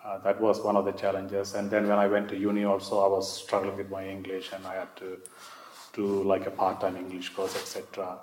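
A man's voice: sits low, monotone, brisk, darkish tone, a little hiss or hum. A man with a Sri Lankan accent recounting his own experiences as an interviewee, often repeating his phrases. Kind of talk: oral history interview, personal recollection. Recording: echoey room.

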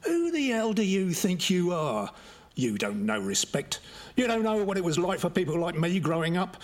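A man acting an emotional, blunt working-class convict in an audio drama, quick to anger, with a husky voice. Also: high-pitched, sing-song. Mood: angry, defiant. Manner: emotional, blunt, colloquial speech.

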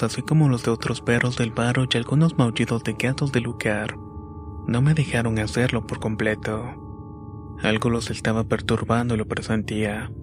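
A man reading a scripted horror story as a narrator, in a measured, deliberate way. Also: mid-range voice. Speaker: narrator of listeners' paranormal stories. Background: background music.

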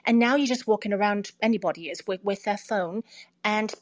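A middle-aged woman with a mid-range voice in relaxed, reflective conversation. She phrases things in a measured way, pausing and hesitating as she builds a thought. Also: medium pitch. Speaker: audiobook narrator, interview guest.

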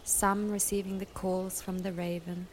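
Woman telling a dreamy meditation story, really slow and even, hushed, faint ambient noise. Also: lowish voice. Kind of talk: poetic scripted narration for a meditative vision quest.

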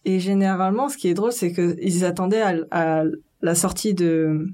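A woman's voice: lowish voice, normal speed, mellow. Chatty young woman, slangy and casual. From France. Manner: chatty, loose slangy speech full of fillers. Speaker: interviewee. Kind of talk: casual conversation.

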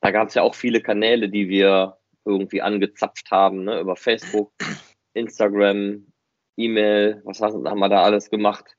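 A man's voice: fairly low pitch, little pitch movement, normal speed, no room sound, dull audio. A man co-hosting a podcast, chatting casually and explaining emphatically with quoted lines. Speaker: fan podcast co-host. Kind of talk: casual chat.

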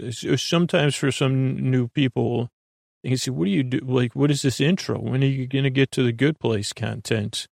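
A man with a gravelly voice hosting a podcast, rambling through an unscripted bedtime monologue in a hesitant, self-deprecating, meandering way. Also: darkish tone, dry recording, studio quality. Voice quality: gravelly, creaky. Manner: self-deprecating, low-key. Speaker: podcast host.

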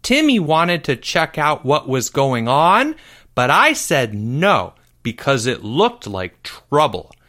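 Man putting on a timid, high-pitched schoolboy. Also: wildly swooping pitch, takes it slowly, pushed and bright, exaggerated voice. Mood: anxious. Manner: hesitant, youthful phrasing. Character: timid, anxious, easily startled young schoolboy.

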